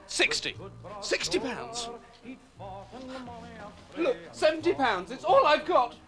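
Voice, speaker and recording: Posh southern english guy, broke, pleading, jumpy high voice. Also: sing-song, slow, over music, full character voice. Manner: pleading, flustered. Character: broke, desperate posh man, nervous. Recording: background noise.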